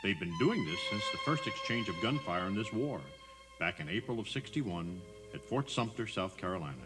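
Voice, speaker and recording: Guy tour narrator, lowish voice that moves around a lot, storytelling, faint music. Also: animated, normal speed, poor audio. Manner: conversational, emphatic storytelling. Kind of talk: scripted audio tour narration.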